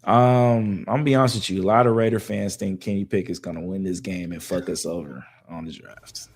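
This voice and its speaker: A man co-hosting a podcast, talking sports in a loose, slangy way with a voice that is going hoarse. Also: low voice, brisk. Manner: loose, slangy, animated banter with fillers. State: losing his voice. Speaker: podcast co-host. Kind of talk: casual sports talk.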